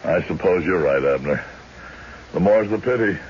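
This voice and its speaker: Solemn gentleman clerk, low voice, formal, measured, foreboding, old radio drama, muffled hissy recording. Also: lively intonation. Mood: grave, foreboding. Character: grave, genteel county clerk recalling a dark tale. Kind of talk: old-time radio drama acting and narration.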